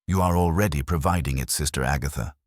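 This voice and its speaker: A man voicing a calm, methodical police inspector in a mystery story, speaking formally and precisely. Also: a bass voice, fast talker, no room sound, clean studio sound. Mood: instructive. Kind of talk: acted dialogue in mystery narration.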